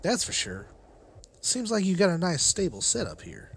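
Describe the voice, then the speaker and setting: Actor as a lively, higher-voiced male survivor. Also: normal speed, vocal fry, a little hiss or hum, full character voice. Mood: sympathetic. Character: friendly, practical male survivor seeking shelter. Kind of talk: voice acting for a motion comic.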